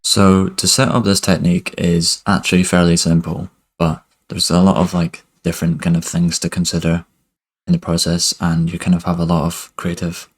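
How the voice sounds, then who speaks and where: A young man teaching music production over a screen recording in a relaxed, loose way, with lots of "kind of" and "basically", speaking into a headset mic in a home studio. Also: deep voice, normal speed, husky. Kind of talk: screen-recorded software tutorial. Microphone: headset with webcam inset.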